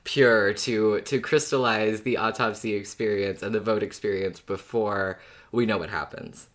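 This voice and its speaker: A man's voice: fairly low pitch, fairly even intonation, moderate pace, fairly bright tone. A man co-hosting a podcast, talking in casual, conversational fan chat. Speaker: podcast co-host.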